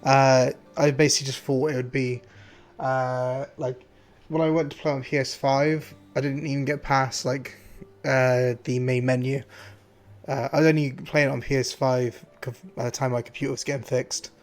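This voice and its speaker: A hoarse man streaming a game, chatting casually and rambling with many fillers and restarts. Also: mid-range voice, little pitch movement, normal speed. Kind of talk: casual gameplay commentary.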